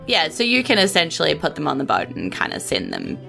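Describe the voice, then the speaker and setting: A woman runs a tabletop game in a casual, improvised style. Her voice is low and bright, with plenty of creak at the edges. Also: huge pitch swings, brisk, faint music behind. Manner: casual, conversational. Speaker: game master.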